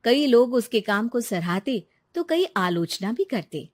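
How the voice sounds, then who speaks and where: A woman narrating a cartoon story in an even, measured way on a close studio mic. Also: a little high, no echo.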